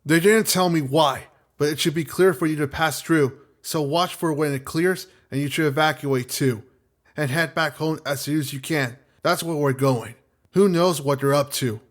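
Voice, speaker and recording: A man as an anxious, higher-pitched space crewman. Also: normal speed, close to an everyday voice. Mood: worried, urgent. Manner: earnest, worried. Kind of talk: audio drama acting.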